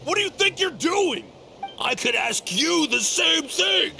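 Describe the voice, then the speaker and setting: A man voicing a blustering, easily startled enemy soldier in a video game, loud and exclamatory with a gravelly edge. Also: extremely high pitch, lively intonation, a little slow, a little hiss or hum, heavily acted, poor audio. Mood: alarmed, angry.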